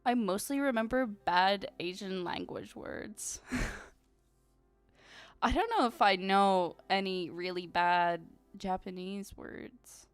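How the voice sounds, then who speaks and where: A woman's voice: mid-range voice, slow, dry recording, soft background music. Bubbly young woman chatting on stream. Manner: bubbly and chatty, many "like"s and giggles. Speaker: VTuber streamer. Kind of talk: casual live-stream chat with viewers.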